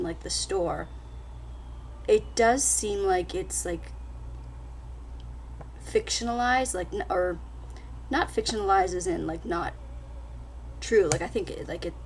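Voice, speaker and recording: Chatty woman with a slightly scratchy voice. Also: medium pitch. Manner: chatty, lots of fillers and restarts. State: slightly scratchy voice after illness. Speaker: book vlogger. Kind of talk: casual book haul chat with read-out blurbs.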